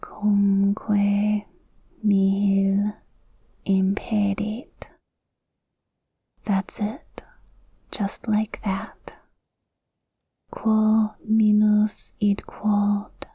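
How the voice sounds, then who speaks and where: A woman voicing a cute witch in an ASMR roleplay, softly whispering a hypnotic spell in a soothing, breathy way, close to the mic. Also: mid-range voice, completely flat delivery, no echo, heavily acted. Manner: slow, soothing, hypnotic, drawn-out phrasing. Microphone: close microphone, dry.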